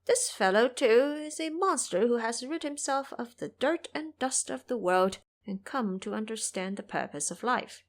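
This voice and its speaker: A woman's voice: on the high side, animated, moderate pace, few pauses. A woman voicing a shapeshifting male monkey king who poses as an elderly monk and speaks humbly and politely, in a storybook reading. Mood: musing.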